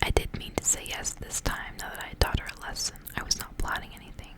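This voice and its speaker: Woman doing asmr story reading, all whispered, soft n breathy, takes her time. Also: fast talker. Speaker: ASMR host reading aloud.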